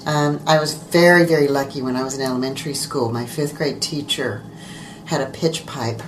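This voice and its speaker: Low-voiced older woman telling stories. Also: moderate pace, slight background noise. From Virginia, USA. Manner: relaxed, chatty storytelling with soft laughs. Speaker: interview guest, radio producer. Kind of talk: casual interview chat. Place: radio studio.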